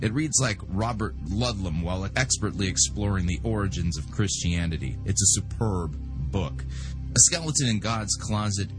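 A man's voice: on the low side, expressive, normal speed, rough recording. An American man reading a scripted promotional narration as an announcer, with polished, dramatic delivery. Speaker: advertisement announcer. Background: guitar music.

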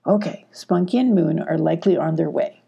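A woman voicing a calm, thoughtful male fox in a storybook, measured and reasoning. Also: sits low, creaky, husky, exaggerated voice.

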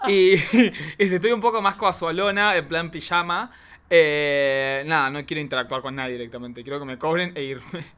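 A man's voice: a little high, fairly even intonation, normal speed, a bit bright, clean tone. A man who is a drag queen guest, chatting casually in a camp, emphatic and dramatic way. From Argentina. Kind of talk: casual chat.

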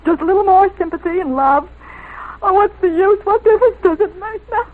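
An actress playing a sympathetic, world-weary newspaper reporter in an old-time radio drama, gentle and halting. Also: female voice, extremely high pitch. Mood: bitter, upset. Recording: tinny, slightly hissy old radio.

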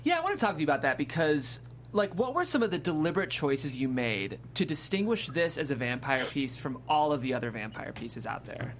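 Animated higher-pitched male interview host. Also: a little fast, a little hiss or hum. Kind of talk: casual interview chat.

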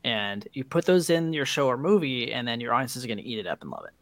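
A man co-hosting a podcast in relaxed, agreeable, casual conversation. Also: medium pitch, fast, no echo. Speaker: podcast co-host. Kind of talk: casual chat.

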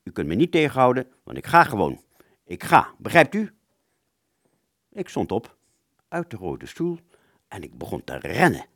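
Male actor for wounded airman, radio play: confused, agitated, stammering, wide swings in pitch, very dry close sound. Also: wildly swooping pitch, normal speed, gravelly, pauses often, a bit bright, no echo, full character voice. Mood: agitated defiant. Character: anxious, confused wounded airman in a hospital bed. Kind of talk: Dutch radio drama, first-person narration and dialogue.

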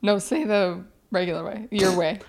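A woman's voice: mid-range voice, fairly even intonation, normal speed, a little mellow. A woman chatting casually as a podcast co-host, with a teasing, playful manner. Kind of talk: casual chat.

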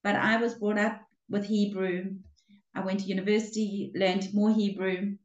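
A woman's voice: medium pitch, level tone, normal speed. Older woman, roomy dull sound. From South Africa. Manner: warm storytelling with hesitations and restarts. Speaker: relationships coach, guest. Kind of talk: casual interview conversation.

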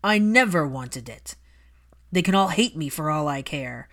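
Resentful highborn young man, performed by a female narrator, lowish register, forceful, biting and deliberate, for dramatic story reading. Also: lively intonation, full character voice. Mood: furious. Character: bitter adult aristocratic son, cold, angry, resentful.

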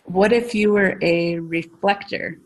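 A woman's voice: lowish voice, fairly even intonation, slow, poor audio. A woman in a casual chat, speaking in an upbeat, affirming way.

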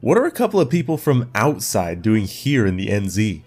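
A man's voice: on the low side, sing-song, moderate pace, darkish tone, husky, full character voice. Guy doing a suspicious guard in a space audio drama, stern n challenging, bit of music behind. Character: suspicious, territorial male guard of a building. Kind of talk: sci-fi audio drama acting.

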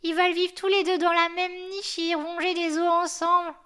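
Storybook casting: a woman playing a shrill, know-it-all old watchdog who barks his words, loud and high. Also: flat delivery, normal speed. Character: old grumpy male yard dog, know-it-all.

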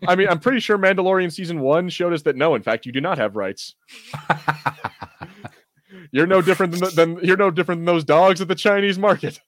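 A man's voice: high-pitched, fairly quick, a bit bright, no echo. Rambling, enthusiastic man in dry close sound. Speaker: podcast host. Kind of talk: casual fan discussion.

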